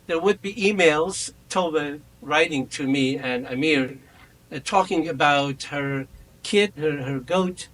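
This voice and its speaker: A man in his fifties whose first language is Kurdish, chairing a memorial roundtable at an academic conference in a reflective, measured, personal way. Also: on the high side, fairly bright tone, rough recording. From Iran. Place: conference room.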